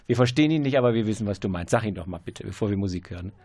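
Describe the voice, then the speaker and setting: Male host, gravelly, dry studio sound, relaxed questioner. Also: on the low side, racing, no echo. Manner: relaxed, coaxing, frequent murmured mhm. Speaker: radio host. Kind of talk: radio interview.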